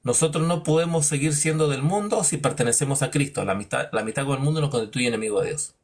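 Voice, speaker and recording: Emphatic male Bible teacher. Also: fast talker. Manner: rhetorical, acts out imagined dialogues; emphatic, drops low on verdicts. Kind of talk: preaching-style Bible lesson.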